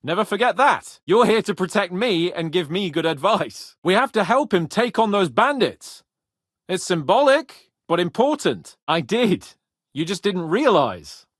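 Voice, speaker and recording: A man's voice: high-pitched, very animated, measured pace, a bit bright, no room sound, studio quality, full character voice. A man voicing a cocky, boastful young noble lord in a medieval video game, with a pompous, spirited manner. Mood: lordly, confident.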